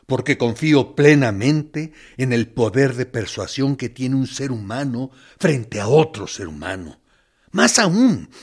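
Radio drama role: male actor as a mock-courteous, menacing interrogator, mid-pitched, very animated intonation, patronising and insinuating. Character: smooth menacing male interrogator feigning reason and kindness.

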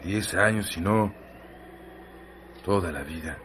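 A man's voice: low voice, slow, a bit bright, slight background noise. An actor playing Eleazar, a brooding, restless man who asks insistent questions in a radio drama. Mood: pleading.

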